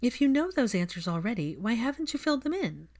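A woman's voice: on the high side, big pitch swings, fast talker, a little mellow, pitched above the performer's own voice. A woman voicing a spirited, inquisitive woman in a story reading, questioning and emphatic. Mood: exasperated.

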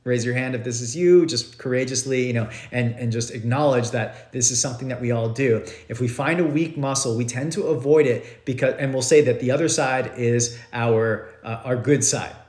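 Animated male yoga teacher in an echoey room. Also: medium pitch, moderate pace, hardly any pauses. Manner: animated, gestures a lot, loose and repetitive phrasing. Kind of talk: live-stream teaching talk. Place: living room at home, indoors.

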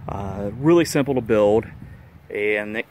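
A man's voice: on the low side, unhurried, darkish tone, a little hiss or hum. Laid-back man rambling with many fillers. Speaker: vlogger showing a DIY kayak rack. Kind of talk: casual how-to vlog. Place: outdoors on a grassy yard.

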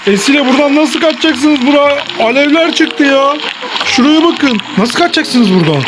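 A woman's voice: high-pitched, lively intonation, moderate pace, very noisy. A woman improvising playful pretend play with children, exclaiming and repeating her instructions.

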